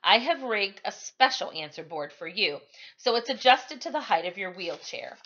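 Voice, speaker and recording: A woman's voice: mid-range voice, animated, moderate pace, sharp tone, breathy edge, lo-fi sound. A woman voicing a friendly, encouraging male stage manager who explains things kindly in a storybook reading. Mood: welcoming, explanatory.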